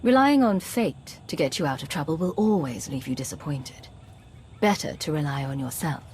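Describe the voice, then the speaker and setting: A woman playing a guarded, pragmatic military commander in a video game, measured and matter-of-fact. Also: low voice, lively intonation, darkish tone, slight background noise. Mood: cool, resolute. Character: guarded, pragmatic female agent and commander, self-reliant.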